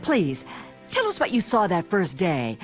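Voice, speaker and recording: A woman's voice: on the low side, wildly swooping pitch, measured pace, faint music behind. A woman voicing an inquisitive bee talk-show interviewer in a polished broadcast delivery. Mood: probing.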